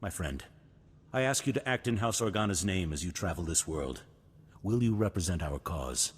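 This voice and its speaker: An actor playing a noble ruler with formal, grand oratory in a video game. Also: male voice, deep voice, animated, moderate pace, breathy edge. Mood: grateful, warm. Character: stately, grateful male noble ruler.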